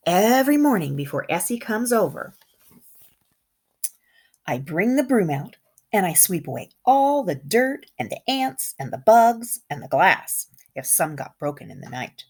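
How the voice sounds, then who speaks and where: Low-voiced woman reading lively to young children. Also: a little slow, husky. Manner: expressive, engaging young listeners with questions and comments. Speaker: kindergarten teacher. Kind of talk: children's picture-book read-aloud with chatty asides.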